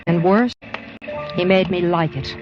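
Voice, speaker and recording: A woman's voice: lowish voice, unhurried, lightly acted. Lady playing an unscrupulous underworld queen, bitter n brooding, 1950s radio, muffled sound w music behind. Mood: bitter, fatalistic. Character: unscrupulous woman crime queen of Macau, bitter and fatalistic. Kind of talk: 1950s radio drama narration. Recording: dull vintage radio recording under loud music.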